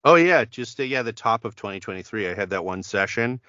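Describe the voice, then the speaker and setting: A man's voice: fairly low pitch, animated, a little fast, sharp tone, no room sound, muffled recording. A man, a voice actor, chatting as a podcast guest, rambling with many fillers and restarts. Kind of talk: casual podcast interview.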